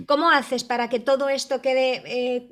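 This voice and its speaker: A woman's voice: high-pitched, steady tone, moderate pace, fairly bright tone. A woman hosting an interview, putting long conversational questions to her guest and searching for words as she goes. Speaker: interviewer, show host.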